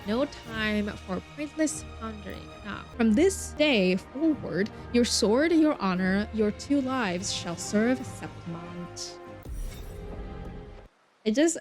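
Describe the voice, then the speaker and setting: Expressive woman game streamer with music behind. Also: a little high, takes it slowly. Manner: expressive, dramatic reading mixed with chatty asides. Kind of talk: live game stream, reading story text aloud with casual comments.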